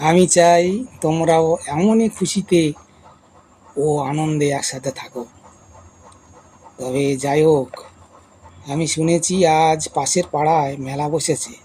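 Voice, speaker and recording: A man voicing a loving, contented village father in a cartoon, speaking gently and affectionately. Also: on the high side, measured pace, pauses often, slight background noise, lightly acted, rough recording. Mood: tender, pleased. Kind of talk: cartoon voice acting.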